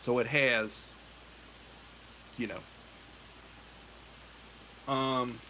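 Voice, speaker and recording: A man's voice: mid-range voice, steady tone, very slow, bright, forceful tone, a little hiss or hum, rough recording. A middle-aged American man hosting a livestream, chatting in a meandering, self-correcting way. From United States. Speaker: livestream host. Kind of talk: casual rambling chat.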